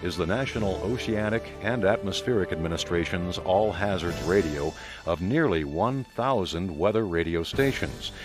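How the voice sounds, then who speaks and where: A man's voice: sits low, lively intonation, normal speed. A man reading scripted informational narration. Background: music.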